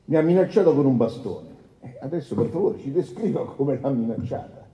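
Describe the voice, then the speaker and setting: An actor playing an insistent, pedantic defence lawyer in a courtroom drama, formal in manner. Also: male voice, medium pitch, lively intonation, fairly quick, warm tone, dull audio, natural voice. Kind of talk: courtroom docudrama acting. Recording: distant, echoey large hall.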